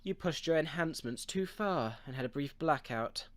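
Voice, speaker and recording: A man voicing a pompous, scheming villain doctor in a comic dub, speaking formally and deliberately with theatrical diction. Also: on the high side, expressive, slight background noise, natural voice. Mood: controlling, sly.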